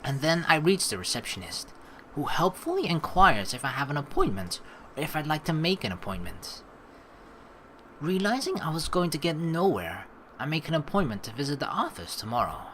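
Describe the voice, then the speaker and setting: A man's voice: on the high side, pushed and bright, a little hiss or hum. A man reading a game's story aloud and commenting on it in a casual, slangy way, with game music behind him. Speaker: let's play host.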